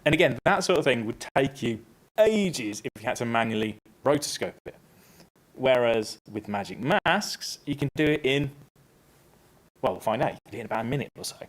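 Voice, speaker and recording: A man presenting a casual, upbeat software tutorial on a conference stage, stepping through each click. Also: medium pitch, lively intonation, fairly quick. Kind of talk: live software tutorial talk.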